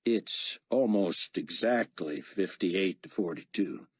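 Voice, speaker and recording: A man's voice: low voice, slow, husky, no room sound, studio quality, heavily acted. A man playing a young, cowed security chief in an audiobook, plain-spoken and deferential. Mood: dutiful. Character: tall young cowboy-like security chief, eager, cowed underling.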